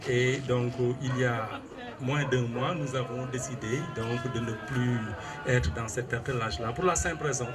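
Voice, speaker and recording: Measured older man with steady hesitant delivery. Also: mid-range voice, over loud music. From Senegal. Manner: measured, formal, hesitant with many fillers. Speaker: retired civil administrator and local politician. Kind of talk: press statement answering an interviewer.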